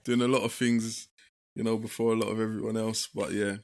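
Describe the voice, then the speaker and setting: An easygoing man in his thirties guests on a friend's podcast. His voice sits a bit low and stays fairly flat in pitch. He laughs along with the banter. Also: brisk. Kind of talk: casual chat among old friends.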